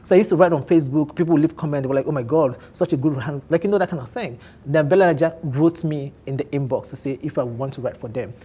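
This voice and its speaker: A man in his thirties from Nigeria with an Igbo accent, telling his life story candidly on a talk show, animated and often laughing. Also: fairly high pitch, quick pace, few pauses, husky. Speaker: guest on a men's talk show.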